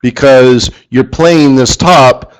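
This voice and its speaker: A man leads a live trading lesson in a middle-pitched voice that is wearing out, so he stops now and then to clear his throat. His delivery is loose and off the cuff, full of restarts and self-corrections. When he wants a point to land he repeats a word over and over. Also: slow pace, poor audio. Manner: rambling, self-correcting, emphatic repetition. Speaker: forex trading instructor. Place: studio or office, live stream.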